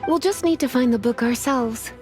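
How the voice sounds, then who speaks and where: A woman voicing a sultry, teasing librarian who speaks in a languid, gently threatening way, in a video game with music behind. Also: high-pitched, moderate pace, close to an everyday voice. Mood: weary.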